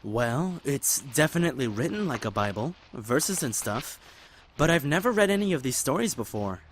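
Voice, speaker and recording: A man playing a caring, earnest young survivor in a zombie apocalypse audio drama, mild-mouthed and gentle but stubborn. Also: medium pitch, sing-song, normal speed, a little hiss or hum, lightly acted, rough recording. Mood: hesitant, mild. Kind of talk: fan audio drama acting.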